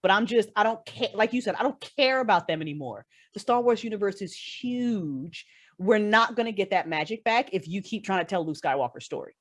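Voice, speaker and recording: A woman guest, a writer, talking casually on a podcast in an animated, opinionated, sweary way. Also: mid-range voice, brisk. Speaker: guest, writer and creator of an audio drama.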